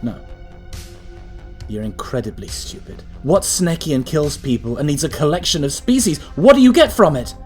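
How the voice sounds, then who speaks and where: A man's voice: fairly high pitch, lively intonation, normal speed, faint music behind, lightly acted. A man playing an urgent, defiant time-travelling hero in an audio drama.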